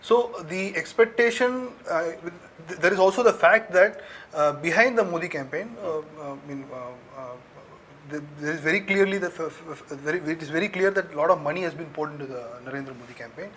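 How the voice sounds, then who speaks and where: A man analyses policy on a live TV panel, talking fast in a slightly raised voice. He trips over fillers as he builds his points. Faint noise hums behind him. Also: a little high, fairly bright tone, low-quality recording. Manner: hesitant, many fillers, analytical.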